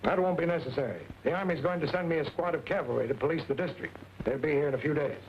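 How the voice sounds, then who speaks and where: A man's voice: fairly high pitch, fast, lightly acted. An actor playing a stern, blustery middle-aged colonel in an old Western, barking his words indignantly. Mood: gruff. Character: blustery, stern Yankee Army colonel and ranch owner. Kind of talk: old Western film acting. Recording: muffled, hissy old film soundtrack.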